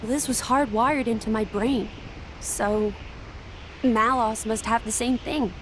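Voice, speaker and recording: Earnest young heroine, fairly high voice. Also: female voice, steady tone. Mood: earnest, puzzled. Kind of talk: video game cutscene voice acting. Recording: clear rushing ambient noise behind.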